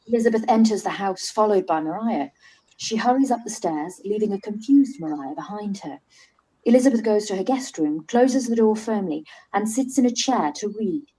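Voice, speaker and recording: Brief: stage-direction narrator, woman, warm and husky. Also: mid-range voice, animated, moderate pace, rough recording.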